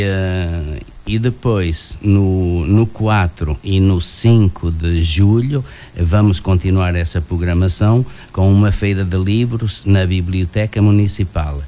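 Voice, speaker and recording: A man from Portugal with a European Portuguese accent, a guest writer speaking haltingly in a studio interview, full of fillers and restarts. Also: sits low, mellow, lo-fi sound. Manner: hesitant, many fillers and restarts. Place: radio studio.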